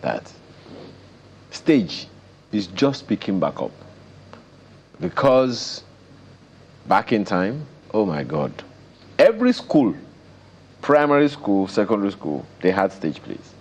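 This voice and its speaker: A man with a Nigerian accent hosting a conversational television interview, speaking in an animated, emphatic way. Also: lowish voice, slow, gravelly, long pauses, a little hiss or hum. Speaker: TV interview host.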